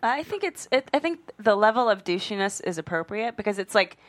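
A woman's voice: mid-range voice, expressive, brisk, no echo. A woman talking casually on a panel in a conversational way, repeating words for emphasis. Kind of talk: casual chat.

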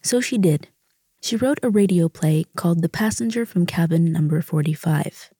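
A woman's voice: fairly low pitch, normal speed, dark tone, husky, no room sound. A woman hosting a documentary story podcast, reading scripted narration. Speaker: podcast host. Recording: close studio with faint music.

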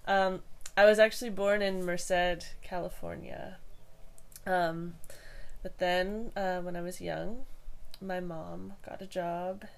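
A young woman from Hawaii, a podcast guest answering interview questions in a hesitant, thoughtful way with many pauses and restarts. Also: fairly low pitch, fairly even intonation, creaky.

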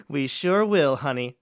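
A woman playing an unhurried, obliging author in a scripted radio comedy. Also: sits low, heavily acted.

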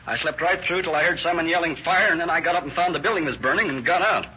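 A man's voice: fairly high pitch, quick pace, sharp tone, breathy edge, exaggerated voice. An actor playing an unsuspicious, plain-spoken male tenant giving a rambling witness account in an old radio drama. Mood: candid, slightly sheepish. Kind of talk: 1930s radio drama acting. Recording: dull, hissy old-time radio recording.

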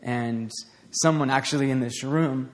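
A man giving an informal, conversational sermon to a small group, with a voice turning raspy and plenty of restarts and fillers. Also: medium pitch, unhurried. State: voice getting raspy. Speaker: preacher. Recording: long hall reverb.

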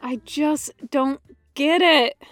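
A woman's voice: sits high, takes it slowly, a bit bright, faint music behind. A woman voicing an exasperated, impulsive young man in an audio story, whiny and emphatic. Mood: exasperated, insistent.

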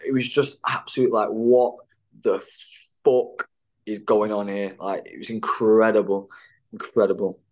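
Animated male actor telling stories. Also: fairly low pitch, a little slow, lo-fi sound. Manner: animated, filler-heavy, swears. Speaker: actor, podcast guest. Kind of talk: casual storytelling chat.

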